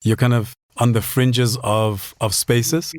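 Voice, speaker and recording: A man's voice: mid-range voice, little pitch movement, slow, a little mellow, dry recording, clean studio sound. A man in his forties, a podcast host from Southern Africa, asks reflective questions in a thoughtful, hesitant way and often restarts his sentences.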